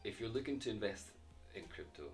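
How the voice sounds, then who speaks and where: Deep man, quiet and off-mic. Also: animated, fast talker, gravelly. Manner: confident, emphatic, some restarts. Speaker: guest. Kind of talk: casual interview chat. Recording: faint voice from far off the mic, light music.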